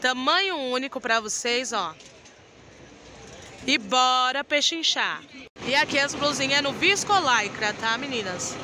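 A Brazilian woman hosts a shopping vlog in an outdoor street market, her voice set a little high. She talks in an enthusiastic sales patter, recorded on a phone with loud traffic around her. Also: animated, measured pace. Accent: Brazilian Portuguese. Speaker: vlog host showing market clothes. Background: street and traffic noise.

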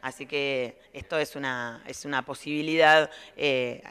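A middle-aged woman with an Argentine accent answering interview questions as a school director, explaining things in a halting way as she rephrases. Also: very deep voice, steady tone, fairly bright tone, smooth. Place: large indoor playroom. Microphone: handheld reporter microphone.

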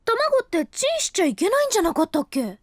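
Apologetic worn-out office girl, high bouncy voice, dry studio sound. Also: huge pitch swings, fast talker, no room sound, heavily acted. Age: young adult. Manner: apologetic, flustered, narrates inner thoughts. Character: tired apologetic young office woman. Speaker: office worker narrator. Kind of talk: studio audio drama.

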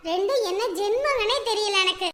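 Woman acting a high-pitched grumbling elder sister. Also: a little fast, pushed and bright, long reverb tail. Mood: exasperated grumbling. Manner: scolding, lamenting. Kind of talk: comic family skit acting.

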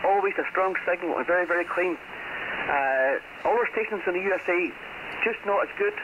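A man's voice: high voice, fairly quick. A man with a British accent chatting casually as a ham radio caller, heard through a shortwave radio transmission. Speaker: amateur radio operator, caller. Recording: noisy, muffled shortwave radio reception.

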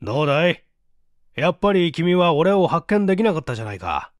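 A man's voice: fairly high pitch, sing-song, a little fast, no room sound, clean studio sound. A man voicing a smug, eager young man in a storybook reading, confident and teasing. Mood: smug, explaining.

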